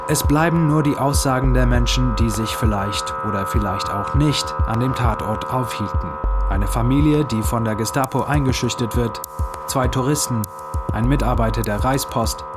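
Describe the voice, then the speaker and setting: A man narrating a scripted historical documentary in a measured, factual manner. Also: mid-range voice. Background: music.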